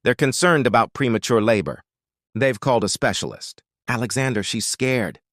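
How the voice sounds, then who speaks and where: A man's voice: medium pitch, expressive, moderate pace, fairly bright tone, no echo, studio quality, voice pushed up, lightly acted. A man voicing a worried woman friend whose voice is tight and tense. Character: worried female best friend.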